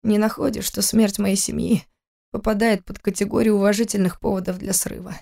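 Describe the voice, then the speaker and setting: A man's voice: high voice, steady tone, brisk, vocal fry, a little mellow. An audiobook character, a grown man in anguish voiced by a woman narrator. He sounds bitter and broken by grief, with a pained, pleading way of talking.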